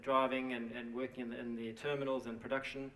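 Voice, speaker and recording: A man giving a conference presentation for his company, speaking haltingly with many fillers and restarts. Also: mid-range voice, level tone, a bit bright. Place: conference hall. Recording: echoey hall, mic at a distance.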